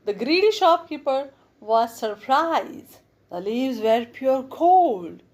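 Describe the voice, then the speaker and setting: Slow, high-pitched woman reading a bedtime story. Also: very animated, a little mellow. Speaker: storyteller reading a children's tale. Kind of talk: scripted bedtime story narration.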